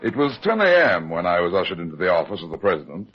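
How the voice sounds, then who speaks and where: A muffled old radio recording of a heavyset private detective. His voice is low and husky, and he talks in a dry, laconic, world-weary way, unhurried and skeptical. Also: big pitch swings, soft background music, exaggerated voice. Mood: matter-of-fact. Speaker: detective narrator. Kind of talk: old-time radio detective drama acting.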